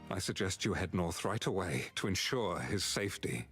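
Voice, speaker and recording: An actor playing an earnest, dutiful knightly warrior in a fantasy video game, speaking formally and gravely. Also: male voice, low-pitched, lively intonation, normal speed, a bit bright, soft background music, full character voice. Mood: resolute. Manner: formal, declamatory.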